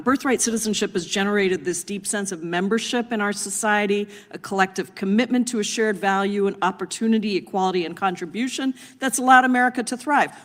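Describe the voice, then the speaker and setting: A congresswoman at a committee hearing, earnestly laying out prepared remarks before asking a witness a question. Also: medium pitch. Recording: long reverb of a hearing room.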